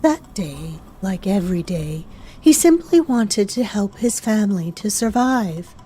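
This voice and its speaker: Female narrator for horror audio drama: measured, mellow, ominous, over background ambience. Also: medium pitch, expressive, slight background noise. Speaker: storyteller, narrator.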